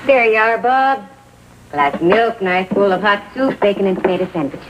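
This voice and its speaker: A woman's voice: mid-range voice, normal speed, natural voice. An actress playing a doting, trusting mother in 1950s TV drama style, polite and earnest. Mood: fussing, attentive. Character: doting, trusting mother of a teenage gang leader. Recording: dull hissy 1950s TV soundtrack.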